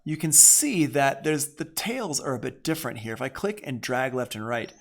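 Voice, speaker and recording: A man's voice: medium pitch, lively intonation, normal speed, vocal fry, a little hiss or hum. An American man with a mid-range voice teaches an audio production tool in a casual way. He thinks aloud as he demonstrates, talking informally and dropping short exclamations as each step is done.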